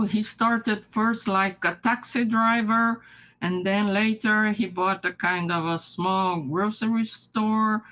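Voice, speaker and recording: An older woman whose first language is Japanese, from Brazil, recalling her childhood in an interview, halting and often restarting with soft laughs. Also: mid-range voice, poor audio. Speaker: interviewee, retired mathematics professor. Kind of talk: recorded life-story interview.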